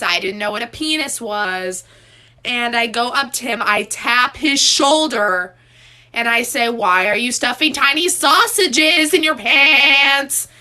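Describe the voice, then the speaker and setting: A woman tells a casual anecdote in a bright, slightly high voice. She draws it out slowly, sounding wry and mock-innocent. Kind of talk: casual storytime.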